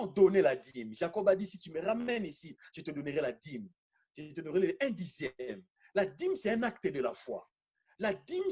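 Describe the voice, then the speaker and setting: Male preacher, high husky voice, swooping intonation, emphatic repetition, charismatic sermon delivery. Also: darkish tone. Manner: emphatic, repeating phrases for effect. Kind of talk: animated charismatic teaching sermon.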